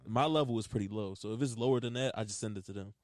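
A man's voice: on the low side, very fast, a little mellow, no room sound, studio quality. A man in a casual group chat, laid-back and joking darkly.

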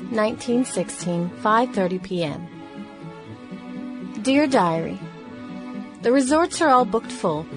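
A prim young woman of the 1910s played by an actress narrates her diary in a radio play. Her voice is fairly high and her phrasing old-fashioned and wry, with clear music underneath. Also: close to an everyday voice.

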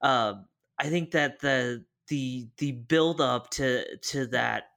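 A man's voice: mid-range voice, slow, gravelly, sharp tone, clear, resonant tone. Male co-host, casual chat, playful and hesitant. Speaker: podcast co-host.

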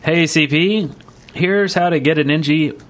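A man's voice: fairly high pitch, unhurried. A man co-hosting a gaming podcast in a casual, easygoing way, reading listener emails and reacting to them. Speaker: podcast co-host.

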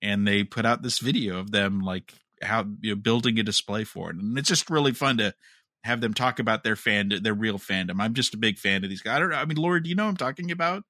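A man with a fairly low but bright, forward voice co-hosts a casual podcast. He talks in a halting, rambling way, repeating words as he gathers his thought. The sound is dry, with very little room. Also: dry recording. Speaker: podcast co-host. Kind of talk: casual chat about TV and fandom.

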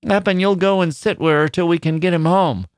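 A man's voice: sits high, steady tone, a little fast, clean tone, no echo, clean studio sound, voice pushed up, natural voice. A man voicing a fretful elderly miner's mother from the English Midlands, who weeps, laments and rambles. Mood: lamenting. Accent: English Midlands dialect. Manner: whining, lamenting, repetitive.

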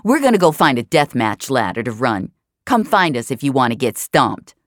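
A woman's voice: very deep voice, very animated, moderate pace, dry recording, studio quality. A woman voicing a bratty, defensive punk boy in an audio drama, slangy, sweary and defiant. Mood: defiant, bored.

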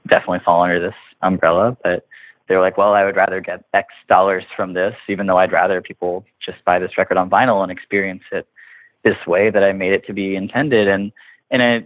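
Low-voiced man on a phone-like line. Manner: informal, illustrates points with imagined quotes. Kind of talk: casual conversational interview talk. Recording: narrow phone-like line with room echo.

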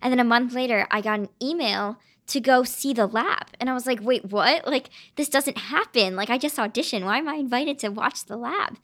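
A woman's voice: fairly high pitch, normal speed, hardly any pauses, fairly bright tone. A teenage actress chatting eagerly as an interview guest, filling her talk with "um" and "like". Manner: eager, chatty, many fillers like "um" and "like". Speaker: young stage actress, guest. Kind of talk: casual interview chat.

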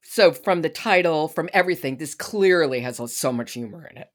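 A woman's voice: low-pitched, expressive, moderate pace. A woman hosting a podcast in a conversational interview style. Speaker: podcast host.

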